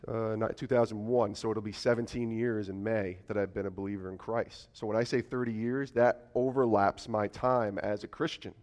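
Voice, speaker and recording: Hoarse man preaching with a cold. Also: a little mellow. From Albany, New York. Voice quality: raspy, deeper than usual. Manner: chatty and joking with the congregation. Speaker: guest preacher. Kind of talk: church sermon. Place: church sanctuary.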